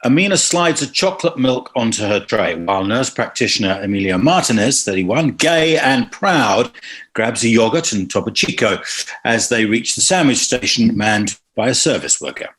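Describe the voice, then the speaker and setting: Guy narrating a script, reads scene directions, normal pitch. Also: lively intonation, normal speed, a bit bright. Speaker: stage directions reader.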